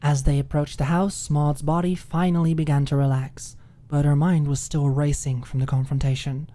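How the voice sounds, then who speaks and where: A woman reading a scripted fiction audiobook in an expressive, ASMR storytelling style. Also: low-pitched, moderate pace. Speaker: audiobook narrator.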